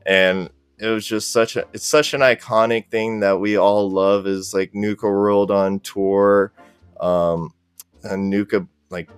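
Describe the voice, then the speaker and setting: A man's voice: on the low side, clear, resonant tone, dry recording. A man speaking as a podcast guest in casual, easygoing, appreciative conversation. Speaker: podcast guest, cosplay group organizer. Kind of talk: casual interview chat.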